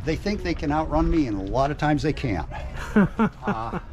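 A man's voice: fairly high pitch, very animated, moderate pace. An older retired man, outdoors at a truck show, casually explaining his custom truck in a plain, matter-of-fact way with frequent fillers. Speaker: truck owner giving a tour. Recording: outdoor noise.

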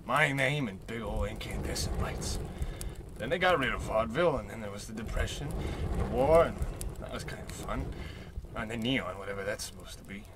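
A man playing a wistful, excitable old tramp in a radio comedy, folksy, theatrical and rambling. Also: on the low side, brisk, noisy background, close to an everyday voice. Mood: wistful, grumbling. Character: down-on-his-luck old tramp, failed vaudevillian, wistful and excitable. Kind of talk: old-time radio comedy play acting.

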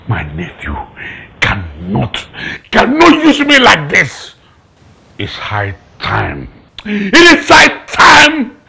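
A man's voice: very high-pitched, gravelly, sharp tone, slightly rough, exaggerated voice. A man acting a desperate, betrayed uncle in a film melodrama, with a Nigerian Igbo accent, stammering and repeating himself. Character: desperate, panicking uncle betrayed by his nephew, in hiding.

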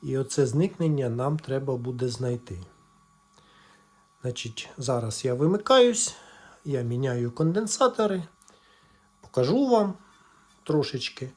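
A man with an average-pitched voice presents a casual, hands-on walkthrough. He explains things step by step in a halting way, as if thinking aloud while he works. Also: animated. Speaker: electronics repair video presenter.

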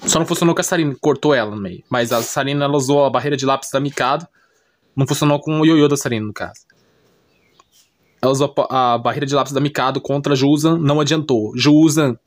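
A man's voice: medium pitch, a little fast. A man with a Brazilian accent giving a casual, unscripted explanation as a YouTuber, repeating himself and often checking that the listener follows. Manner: repetitive, often checks listener understanding with short tag questions. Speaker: YouTuber recapping anime and manga.

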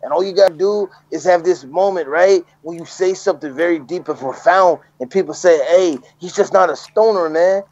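A higher-pitched man riffs on a movie with a podcast co-host, joking and mocking characters in put-on voices. The recording is dry and close, with a slightly dull, muffled top end. He talks fast when he gets going. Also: no echo. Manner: joking, animated, breaks into mocking impressions. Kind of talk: casual comedic movie-review chat.

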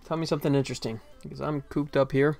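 Middle-aged male streamer, slightly higher-pitched, easygoing and self-deprecating, light music behind him. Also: fairly even intonation, fast talker, creaky, darkish tone. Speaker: game developer livestreamer. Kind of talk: casual livestream chat. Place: indoor desk, livestream webcam setup.

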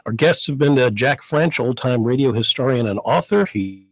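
Husky man hosting a radio talk show. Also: medium pitch, animated, no echo. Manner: inquisitive, brisk moderator. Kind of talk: interview hosting. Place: radio studio. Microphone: studio mic.

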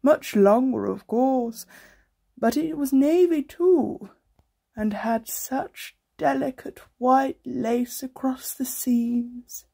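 Woman playing a slow, husky old grandmother. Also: high-pitched, dark tone, full character voice. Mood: wistful. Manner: slow, doting, old-fashioned genteel speech. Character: frail, fond, wistful old bedridden grandmother. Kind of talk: 1930s-set radio play acting.

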